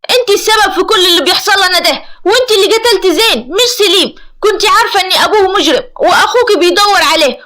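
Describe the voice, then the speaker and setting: Woman acting a furious, high-pitched scolding young man. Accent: Egyptian. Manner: shouting, accusing. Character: furious scolding young man, hot-tempered brother figure.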